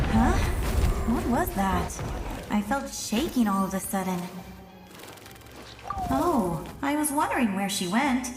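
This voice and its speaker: An actress voicing a caring young elder sister, a spirit doctor in a fantasy game, in a friendly, encouraging, reflective way. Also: female voice, high-pitched. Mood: puzzled. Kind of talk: fantasy game cutscene acting. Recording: echoey long reverb, music underneath.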